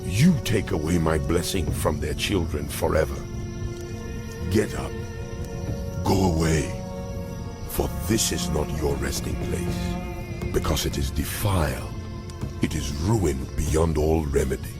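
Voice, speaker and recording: A man performs a stern, wrathful God in a dramatic scripture reading. The voice is very low and deliberate, pronouncing judgment slowly and solemnly. Loud music plays behind it. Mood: grieved indignation.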